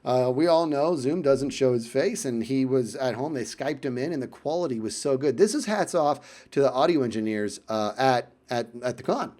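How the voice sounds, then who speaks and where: A man casually telling a personal story in vlog style, animated and emphatic, often repeating phrases for effect. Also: medium pitch, moderate pace, hardly any pauses.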